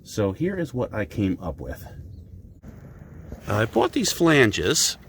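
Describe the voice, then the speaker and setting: Stuffy-nosed male DIY host, slightly low voice, loose self-mocking chatter, faint ambient noise behind. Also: huge pitch swings, takes it slowly, fairly bright tone. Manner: rambling, self-deprecating, chatty with fillers. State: stuffy nose. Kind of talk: casual how-to vlog.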